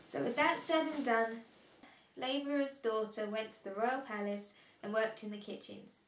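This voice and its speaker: A woman's voice: a little high, fairly even intonation, normal speed, lightly acted. A woman playing a friendly older relative who reads bedtime stories in an amateur drama. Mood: storytelling. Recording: roomy echo, faint background noise.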